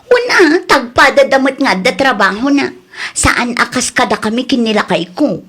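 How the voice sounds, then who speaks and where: An actress plays a carefree, freeloading young wife in a comedy radio drama. Her voice is high, with an Ilocano Filipino accent. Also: big pitch swings, normal speed, fairly bright tone, slightly rough, heavily acted. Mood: eager, scheming. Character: carefree, freeloading married daughter, eager to save money.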